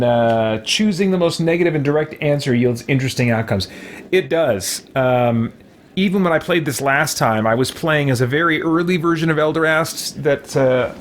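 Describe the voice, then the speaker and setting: Man in his thirties, gaming streamer, casual commentary, hesitant, medium pitch, faint game ambience behind. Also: slight background noise. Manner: hesitant, thinking aloud. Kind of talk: casual let's-play commentary. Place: small indoor room at home.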